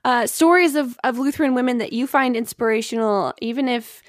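A woman's voice: on the high side, fairly quick, dry recording, clean studio sound. A woman hosting a podcast, telling history in a conversational way among friends.

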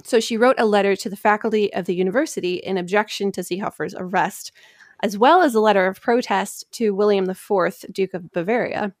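A woman's voice: mid-range voice, a little fast, dry recording, studio quality. A woman hosting a podcast, telling history from prepared notes in an informal, explanatory way with casual asides.

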